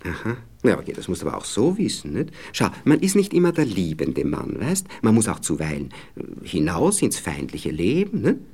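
An actor playing a condescending, flirtatious married Viennese gentleman in a radio play, speaking hesitantly and coaxingly with little questioning tags. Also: sits low, wildly swooping pitch, brisk, gravelly, few pauses, breathy edge, exaggerated voice. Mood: patronizing. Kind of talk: intimate radio play dialogue.

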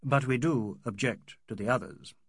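A man's voice: mid-range voice, normal speed, breathy edge, no room sound, clean studio sound. A man voicing an eminent, formal barrister in an audiobook, courteous and firm, with an Oxford English accent. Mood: firm, formal objection. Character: eminent Indian barrister, large, formal, coolly objecting.

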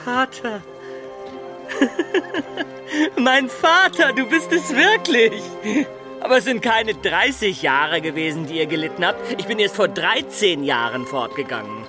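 A man's voice: high voice, animated, slow pace, music in the background. An actor playing a cheeky, brash peasant's son returning from his travels, in a theatrical radio play style. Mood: moved, defiant. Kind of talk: German radio play acting.

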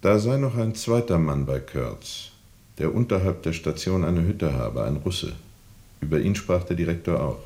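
An actor playing a grave, seasoned sea captain who recounts a jungle river journey in a deliberate, brooding way for a radio drama. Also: male voice, low-pitched, animated, dark tone. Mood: sombre testimony. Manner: deliberate, brooding, measured storytelling. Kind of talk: radio drama narration, recounting under questioning.

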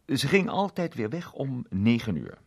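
A man narrating a scripted story as an expressive storyteller. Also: medium pitch, a little slow, vocal fry.

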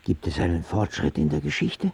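An actor in a radio play playing a reluctant father and anguished artist, husky and pointed, with a dry, sardonic manner. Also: low-pitched, big pitch swings, fairly quick, heavy background noise, heavily acted. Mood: sceptical. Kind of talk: radio drama dialogue.

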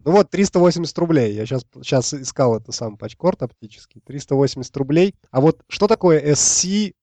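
A man's voice: fairly high pitch, expressive, brisk, warm tone, no echo. A man chatting casually as a podcast panelist, informal and inquisitive. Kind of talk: casual chat.

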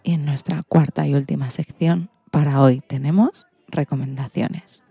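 A woman's voice: low voice, steady tone, moderate pace, gravelly, warm tone. A woman with an accent from Spain hosting a children's radio programme, partly reading from a script.

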